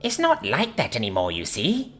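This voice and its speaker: A man voicing a wise old male rabbit teacher in a storybook reading, lecturing gently. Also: wildly swooping pitch, normal speed. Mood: earnest. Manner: gently lecturing, swooping high.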